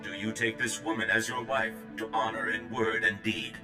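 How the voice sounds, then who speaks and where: An actor voicing a prim, solemn droid officiant in a video game, with formal, ceremonial delivery. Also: male voice, lowish voice, moderate pace, reverberant, over music, lightly acted. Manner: formal, flatly ceremonial reciting. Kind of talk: scripted video game acting.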